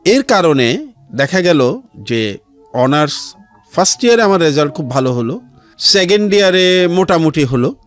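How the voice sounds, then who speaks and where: A middle-aged man with a Bangladeshi accent telling his life story as an interview guest, in a measured way with pauses and some English words mixed in. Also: on the high side, sing-song. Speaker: interview guest, civil servant. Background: background music.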